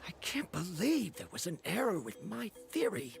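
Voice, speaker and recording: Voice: mid-range voice, wildly swooping pitch, normal speed, vocal fry, heavily acted. An actor playing a stubborn, bookish male scholar in an anime game, hesitant and formal. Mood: shaken, earnest.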